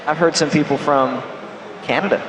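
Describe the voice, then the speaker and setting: A man from North America giving measured, analytical esports color commentary on a headset at a packed convention hall. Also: a little high, steady tone, background noise. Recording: echoey arena with music behind.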